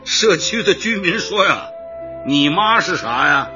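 An actor playing a genial elderly husband in a Chinese radio drama, gently grumbling and teasing. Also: male voice, sits high, expressive. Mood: amused grumbling. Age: older. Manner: chuckling, drawn-out, gently grumbling. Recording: dull, lo-fi audio with music.

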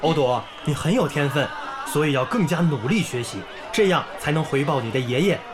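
An actor playing a young volunteer teacher in a village who speaks warmly and encouragingly. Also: male voice, a little high, animated, fairly quick, very noisy, lightly acted. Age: young adult. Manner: warm, encouraging tone. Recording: background music under the scene.

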